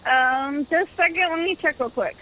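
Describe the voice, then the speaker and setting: A woman's voice: high-pitched, moderate pace, sharp tone. A woman working as a store employee, helpfully and tentatively answering a customer on the phone. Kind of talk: casual customer-service phone talk. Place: store, on a telephone. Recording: noisy phone line call.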